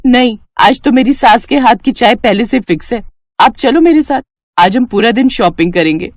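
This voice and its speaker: A woman's voice: a little high, animated, moderate pace, dry recording, studio quality, pitched above the performer's own voice. A woman voicing a polite, sensible young daughter-in-law in a moral story, agreeable in manner. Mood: cheerful. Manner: agreeable, deferential.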